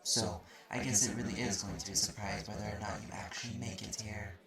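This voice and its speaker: A haughty male magic-university guide, acted by a man in an audio roleplay, speaks in a lowish, creaky voice. He explains things curtly and looks down on the newcomer. Heavy noise runs through the recording. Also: steady tone, brisk, reverberant. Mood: condescending, curt. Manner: condescending, curt, explanatory. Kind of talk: audio roleplay acting addressed to the listener. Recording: noisy recording with clicks.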